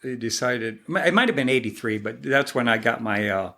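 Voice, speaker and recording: A man's voice: mid-range voice, expressive, moderate pace, vocal fry, pushed and bright. A man in his sixties with an American accent, telling his story casually as an interview guest, modest and given to self-deprecating jokes. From born in Germany, grew up in southern Michigan, USA. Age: 60s.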